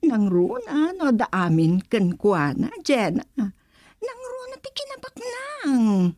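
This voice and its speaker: Woman acting Katrina, a high-voiced, demanding wife. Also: huge pitch swings, takes it slowly, darkish tone, no room sound, studio quality, soft background music, exaggerated voice. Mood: chatty, pleased. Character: Katrina, flirtatious, demanding wife of a rich man. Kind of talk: Ilocano radio drama acting.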